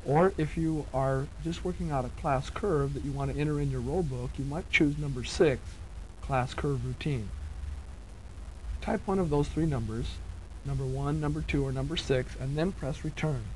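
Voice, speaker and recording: A man's voice: a little high, normal speed, a little hiss or hum, poor audio. A man giving methodical, step-by-step scripted instructional narration, recorded on a 1970s cassette tape.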